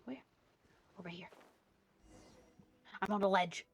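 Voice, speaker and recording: A woman's voice: mid-range voice, slow pace, gravelly, slight background noise. A woman livestreaming a game with casual commentary, making chatty asides to viewers and repeating short phrases. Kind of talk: casual live gameplay commentary.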